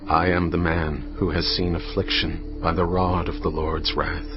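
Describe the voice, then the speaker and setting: A man narrating scripture as a dramatised audiobook, in a solemn, measured manner. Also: sits low, little pitch movement, muffled recording, music in the background.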